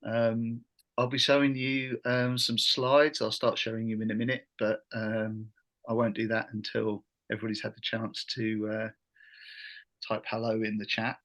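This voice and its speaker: Guy middle aged running an online workshop, kinda halting, not much pitch movement. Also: level tone, fairly bright tone. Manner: halting, hesitant phrasing. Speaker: workshop host, education trainer. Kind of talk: informal online workshop presentation. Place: video call.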